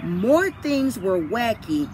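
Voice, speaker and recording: A woman voicing an excitable, alarmed young child from a storybook, exclaiming in swoops, with outdoor noise behind. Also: medium pitch, takes it slowly. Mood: amazed.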